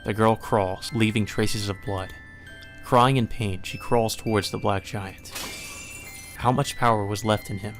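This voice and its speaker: A man reading a visual novel aloud as dramatic, solemn and measured narration. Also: lowish voice, steady tone. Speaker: narrator, let's play reader. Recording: music behind the voice.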